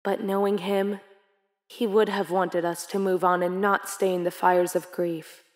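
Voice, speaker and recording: Animated fan series: actress as commanding female prime minister, ceremonial and measured, ringing in a big hall. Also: on the low side, lightly acted. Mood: stately. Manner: formal, measured. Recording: long hall reverb.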